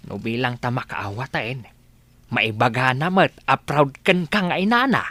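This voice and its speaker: A woman's voice: extremely low pitch, moderate pace, fairly bright tone, no echo. A woman with an Ilocano accent playing a proud, tender parent in a radio drama. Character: proud, tender parent congratulating a grown child.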